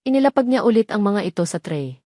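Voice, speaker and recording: A woman's voice: medium pitch, big pitch swings, quick pace, mellow, dry recording. Solemn woman narrator, very dry sound. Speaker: story narrator. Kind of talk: dramatic scripted romance narration in audio-drama manner.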